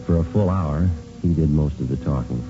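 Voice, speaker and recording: An actor playing a terse, unflappable police sergeant in a vintage radio drama, speaking and narrating in a clipped, matter-of-fact deadpan. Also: male voice, extremely low pitch, moderate pace. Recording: muffled vintage radio recording, music behind.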